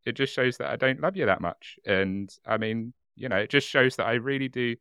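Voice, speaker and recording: A man's voice: medium pitch, a little fast, pushed and bright, clean tone, no echo, clean studio sound. A man hosting a podcast who laughs easily, chats casually and then reads out a case. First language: English. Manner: giggly, self-deprecating, reads narration. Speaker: podcast host. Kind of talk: casual chat and reading a case.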